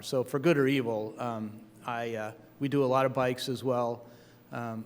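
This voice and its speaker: A man's voice: mid-range voice, big-room sound. A man on a discussion panel who speaks bluntly and skeptically, with plenty of hesitant fillers. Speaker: panelist, delivery business operator. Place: event hall.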